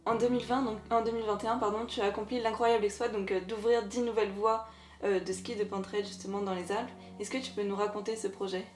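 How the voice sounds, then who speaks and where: A woman's voice: mid-range voice, fairly even intonation, quick pace, roomy sound, soft background music. A woman interviewing a guest for a podcast, asking prepared questions.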